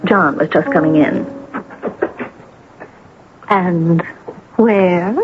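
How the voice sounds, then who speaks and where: A woman's voice: fairly low pitch, drawn-out words. An actress playing a self-absorbed, domineering woman novelist in a radio drama, theatrical and grandiose in her delivery. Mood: seething. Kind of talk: radio drama acting with first-person narration. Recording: dull, noisy old radio broadcast.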